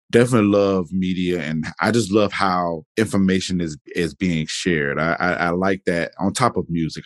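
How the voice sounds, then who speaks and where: Brief: male podcast host, very low voice, easygoing chatty delivery, dry close sound. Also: no room sound. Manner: laid-back, peppers speech with "man" and "you know". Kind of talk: casual interview chat.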